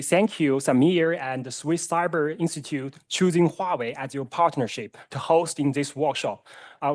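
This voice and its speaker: A man whose first language is Chinese, introducing his organization formally, with frequent fillers and non-native phrasing. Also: fairly high pitch. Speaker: centre director.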